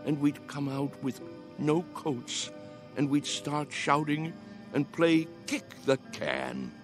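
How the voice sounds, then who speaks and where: A man's voice: on the high side, lively intonation, takes it slowly, music in the background. An actor playing a spirited, pleading old man in a radio drama, earnest, coaxing and dreamy in his delivery. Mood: wistful.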